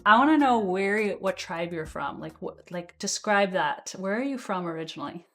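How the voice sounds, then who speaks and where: A woman's voice: medium pitch, animated, moderate pace, over music. A woman hosting a podcast interview, asking curious questions and reacting in an effusive, enthusiastic way. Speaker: podcast host. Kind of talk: interview conversation.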